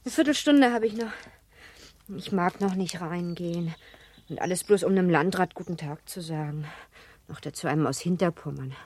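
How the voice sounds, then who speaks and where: A woman's voice: lowish voice, normal speed, darkish tone, natural voice. An actress playing a playful, headstrong seventeen-year-old girl in a German literary radio play, with an impulsive, teasing, girlish way of talking. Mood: playful, eager.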